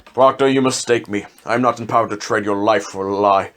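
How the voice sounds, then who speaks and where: A man's voice: lowish voice, steady tone, fairly quick, a bit bright, close to an everyday voice. A man acting a stern, commanding magistrate in a period drama. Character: stern, authoritative colonial magistrate.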